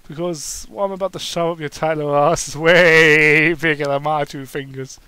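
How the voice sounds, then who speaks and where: A man's voice: fairly high pitch, fairly even intonation, moderate pace, bright, forceful tone, clean tone, no room sound. Man reading as a smug, teasing big masked man. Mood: firm, suggestive. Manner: sarcastic, cooing, suggestive.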